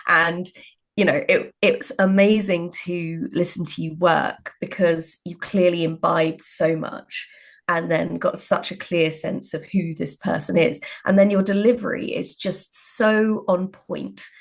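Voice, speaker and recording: Woman writer chatting in an interview, raspy with lots of vocal fry. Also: on the low side, fairly even intonation, a little slow. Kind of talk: casual interview conversation.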